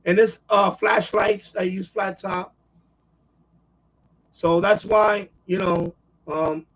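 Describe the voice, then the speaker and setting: A man's voice: sits high, slightly rough, highs cut off. A man hosting a hobby live stream, chatting casually in a rambling way and often repeating himself.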